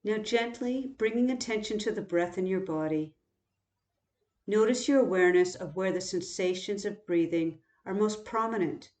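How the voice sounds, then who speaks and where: A woman guiding a body scan meditation in a calm, unhurried, instructional way, leaving long pauses. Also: mid-range voice. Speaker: meditation teacher. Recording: roomy, echoing sound.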